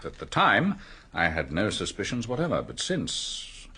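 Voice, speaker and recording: An actor playing a mild village doctor in a radio drama, speaking and narrating in a measured, matter-of-fact way. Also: male voice, fairly low pitch, huge pitch swings, bright, forceful tone, slightly rough, exaggerated voice. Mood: serious. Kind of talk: radio drama dialogue and first-person narration.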